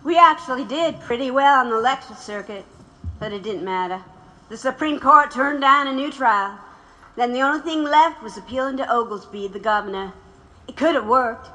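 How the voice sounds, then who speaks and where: A woman's voice: fairly high pitch, animated, normal speed, pushed and bright, poor audio. A woman playing a tough, reflective widowed revolutionary in an audio drama. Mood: reflective, bitter.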